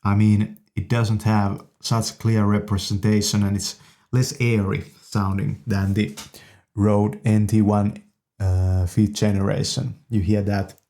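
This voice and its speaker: Low-pitched, mellow man demonstrating gear. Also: level tone, a little slow, creaky. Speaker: video host reviewing audio gear. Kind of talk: casual product demonstration. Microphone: studio condenser microphones.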